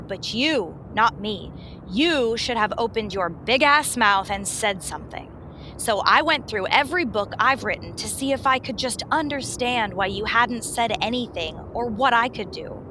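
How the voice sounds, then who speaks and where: A woman's voice: on the high side, sharp tone, slight background noise, rough recording. A woman playing a sassy, exasperated romance novelist in a romantic comedy radio play, sarcastic and emphatic.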